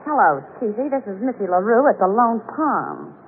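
Tinny old-radio glamorous movie star. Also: female voice, mid-range voice, sing-song, normal speed, slight background noise. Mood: coy, unruffled. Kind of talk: 1940s radio comedy acting.

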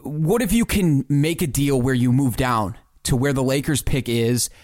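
A man's voice: mid-range voice, moderate pace, darkish tone, no echo, clean studio sound. A man co-hosting a sports podcast, thinking through basketball scenarios and posing questions in a conversational way.

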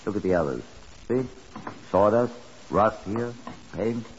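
An actor playing a calm, methodical chief inspector in a 1950s radio drama, terse and measured. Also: male voice, on the low side. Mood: dry, probing. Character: calm, methodical police chief inspector, world-weary and dry. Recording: dull, hissy 1950s radio recording.

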